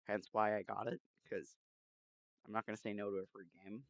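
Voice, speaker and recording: A man chatting casually and haltingly as he hosts a livestream, speaking into a headset mic. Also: on the low side, level tone, gravelly, fairly bright tone, no echo, dull audio. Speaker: game streamer, host. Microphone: new headset microphone.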